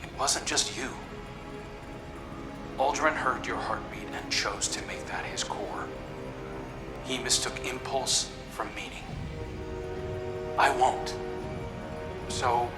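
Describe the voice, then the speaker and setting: A man's voice: mid-range voice, steady tone, slow, long pauses, close to an everyday voice. A man playing an earnest, determined, selfless adventurer and brother in a video game, sincere and understated. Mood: earnest, vulnerable. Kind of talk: scripted video game drama. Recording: echoey room, music underneath.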